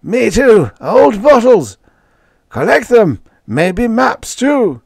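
A wizened old man of space, senile and gleefully mad. He cackles giddily in a high pitch for a science fiction story, dragging out his words. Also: sing-song, gravelly, husky, dry recording, clean studio sound, heavily acted. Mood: manic glee. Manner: cackling, giddy, drawn-out delivery.

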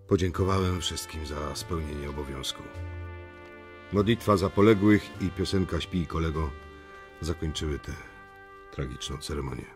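An actor voicing a grave, measured military commander solemnly recalling a battle in an audiobook memoir. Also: male voice, low voice, a little mellow, natural voice. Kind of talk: scripted audiobook memoir reading.

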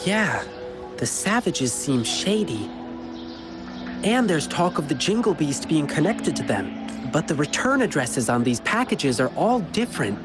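Young male game hero, earnest, friendly, reassuring, expressive melody in the voice, music behind. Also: a little high, unhurried. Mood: earnest, attentive. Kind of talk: video game character dialogue.

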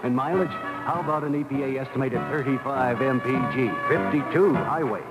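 A man's voice: medium pitch, a little fast, poor audio. A man announcing a scripted car commercial in voice-over style. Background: loud music.

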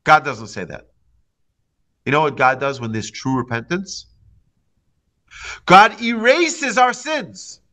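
A man in his forties, a rabbi giving a lively class with stories and acted-out quotes, speaking close into a studio mic in a small room. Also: a little high, slow, long pauses. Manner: lively storytelling with hand gestures and acted quotes. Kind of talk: animated Torah lecture. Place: indoor home studio before a plain wall. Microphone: large studio mic on boom arm, close.

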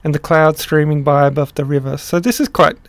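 A middle-aged man explaining his song translation in a casual, halting way, with many pauses and restarts. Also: a little high, fairly even intonation, no room sound. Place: small room indoors.

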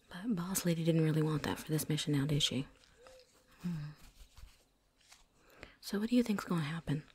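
Woman doing a whispery vampire hunter lady for asmr, lowish voice, chatty n cocky, folksy, bit of noise. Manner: folksy, rambling, with drawn-out asides. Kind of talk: whispered ASMR roleplay acting.

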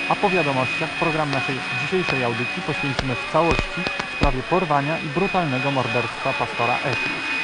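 A man playing an earnest radio announcer, reading the news in a formal, measured, serious manner. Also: on the high side, close to an everyday voice. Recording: very noisy, humming shortwave radio broadcast.

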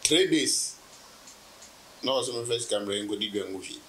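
A Ghanaian man in his thirties, a business guest in a relaxed sit-down interview, telling his story with laughs and anecdotes. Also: medium pitch, moderate pace, slight background noise, poor audio. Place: indoor room, seated on sofa.

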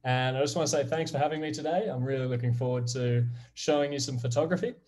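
A man's voice: medium pitch, steady tone, fast talker, a little mellow. A man in his thirties from Australia with an Australian accent, giving a friendly, upbeat online class presentation to kids over a video call. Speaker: guest presenter.

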